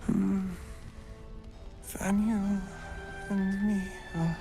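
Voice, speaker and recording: Very slow, dazed singer. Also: female voice, mid-range voice, fairly even intonation, creaky, over music. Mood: dazed, singsong. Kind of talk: sung humming in video game.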